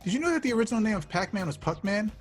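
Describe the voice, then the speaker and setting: A man playing a defensive, awkward young slacker in a table read, speaking casually and deadpan. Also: high-pitched, rapid-fire, faint music behind. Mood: earnest, rambling.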